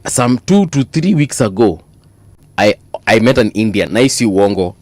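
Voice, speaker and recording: A Kenyan man co-hosting a morning radio show, telling stories in an easygoing, chatty way. His pitch swings up and down a lot as he gets into the story. The studio sound is close and dry. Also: unhurried, no room sound. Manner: animated storytelling, mixes Swahili into English, laughs often. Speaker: radio co-host. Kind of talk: casual on-air banter. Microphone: studio microphone.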